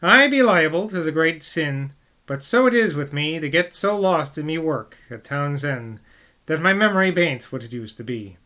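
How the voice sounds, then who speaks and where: A man's voice: a little high, animated, normal speed, pushed and bright. A man voicing an older male mayor in a put-on rustic West Country accent, doting and folksy. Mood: reassuring. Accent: rustic West Country English put on. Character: older male small-town mayor, fond and stubborn.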